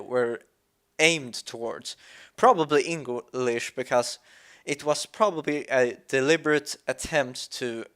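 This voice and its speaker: Slow-paced man, mid-pitched, halting and thoughtful, unscripted game commentary. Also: a bit bright. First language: Swedish. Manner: halting, searching for words, thoughtful. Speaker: let's play commentator. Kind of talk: reflective gameplay commentary.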